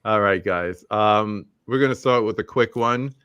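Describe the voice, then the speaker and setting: A middle-aged man hosts a live stream roundtable in a relaxed, easygoing way. His voice sits a little low. The sound is dry and close. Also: a little slow, clean tone, no echo, dull audio. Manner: laid-back, shouting out chat viewers. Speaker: host.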